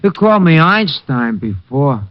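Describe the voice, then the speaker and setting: An actor playing a dim-witted thug who talks in a dopey, blunt way, in 1950s radio drama style. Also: male voice, fairly high pitch, big pitch swings, unhurried, slight background noise. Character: dim-witted hulking male thug, slow. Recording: dull lo-fi old radio broadcast.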